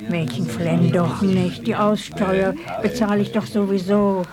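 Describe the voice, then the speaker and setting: An actress playing a gruff but fond old village grandmother in a radio play, scolding and plain-spoken. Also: mid-range voice, fairly even intonation, unhurried, music in the background, natural voice. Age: older. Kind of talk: German radio play acting.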